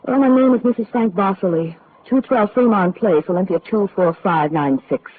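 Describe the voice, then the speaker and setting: A woman's voice: mid-range voice, normal speed, close to an everyday voice. An actress playing a mysterious, reserved young married woman in a 1940s radio noir drama, terse and composed. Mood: guarded. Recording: noisy, muffled old radio recording.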